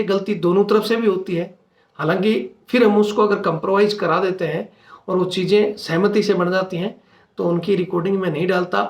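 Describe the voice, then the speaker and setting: A man, a channel host making an earnest, insistent informal appeal to his followers. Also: sits high. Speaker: retired soldier speaking as channel host. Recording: echoey room sound.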